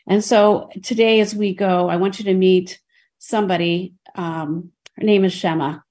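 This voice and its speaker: A woman's voice: lowish voice, fairly even intonation, moderate pace, a little mellow. A woman hosting a podcast, introducing her guest in an earnest way with some fillers. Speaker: podcast host.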